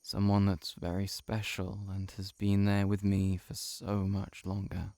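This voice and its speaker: A man playing a shy, heartbroken friend in an intimate audio roleplay, confiding haltingly to the listener. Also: sits low, completely flat delivery, creaky, warm tone, no room sound. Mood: tentative, tender. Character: shapeshifting monster posing as a shy, heartbroken male friend.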